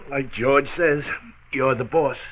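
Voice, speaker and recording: An actor playing a sheepish, hesitant factory worker with a cold in a 1940s radio drama. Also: male voice, mid-range voice, takes it slowly, close to an everyday voice. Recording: tinny, noisy 1940s radio recording.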